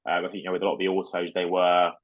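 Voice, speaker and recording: A man with a very low voice co-hosts a podcast. He talks in a relaxed, hesitant way, with lots of ums and you-knows. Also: rapid-fire, lo-fi sound. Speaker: podcast co-host. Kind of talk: casual chat about trading cards.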